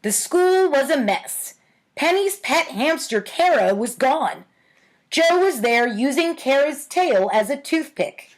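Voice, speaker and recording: A woman's voice: sits high, lively intonation, slow, poor audio. An adult woman hosting a storytime, reading in a tongue-in-cheek manner with casual asides. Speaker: host of a storytime series reading own childhood writing.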